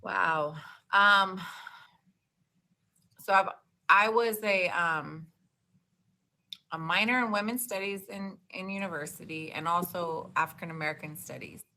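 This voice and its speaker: Bright, talkative woman in her forties. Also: lowish voice, a little slow, long pauses. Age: 40s. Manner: animated, talkative, frequent "like". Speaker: podcast guest. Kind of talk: casual interview chat.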